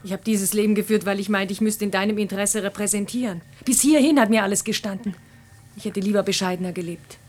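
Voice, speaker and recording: Husky-voiced wife played by an actress, grave and resolute, measured delivery. Radio drama. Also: female voice, medium pitch, steady tone, a little mellow, close to an everyday voice. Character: professor's wife, grave, resolute, burdened by foreknowledge. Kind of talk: German radio play acting.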